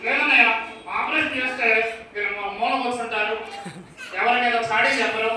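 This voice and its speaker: A man's voice: very high voice, brisk, pushed and bright, exaggerated voice. A man voicing a mischievous, childlike ventriloquist puppet that calls itself a doctor, insistent and argumentative, in a live children's puppet show. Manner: insistent, argumentative, repeats words. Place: large church hall with children's audience. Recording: echoey hall, distant mic, noisy crowd.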